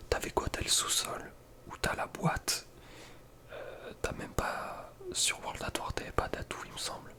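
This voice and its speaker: A young man whispering casual ASMR gaming commentary in an informal, slangy, hesitant way. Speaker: ASMR video creator.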